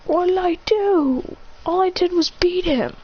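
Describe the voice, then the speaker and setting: A woman's voice: medium pitch, unhurried, highs cut off, close to an everyday voice. A woman voicing a bitter, angry young male ninja outcast, talking in resentful outbursts. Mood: defiant.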